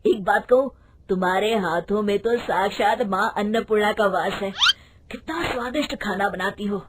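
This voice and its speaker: A woman's voice: mid-range voice, moderate pace, noisy background, voice pushed down, natural voice. A woman voicing a hearty, appreciative male customer in a storybook tale, with effusive admiration. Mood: delighted, admiring. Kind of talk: animated Hindi storybook voice acting.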